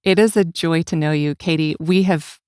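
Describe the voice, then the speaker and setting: A woman speaks as the host of an interview podcast. Her voice sits a little lower than most women's. The sound is dry, with very little room on it. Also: steady tone, normal speed, darkish tone, smooth, no echo. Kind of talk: warm interview with scripted introduction.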